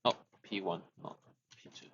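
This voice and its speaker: A man's voice: normal speed, a bit bright, lo-fi sound. A young man, chatting while he codes on a live stream, thinking aloud, restarting phrases and chuckling often. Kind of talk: casual live-stream coding chat.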